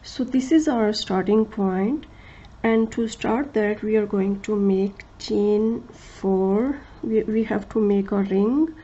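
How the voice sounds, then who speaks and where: A woman who speaks English as a second language gives a crochet tutorial, talking slowly and carefully, with many fillers and restarts, and counting stitches aloud. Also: mid-range voice, dark tone, dull audio. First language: not English. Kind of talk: step-by-step craft tutorial.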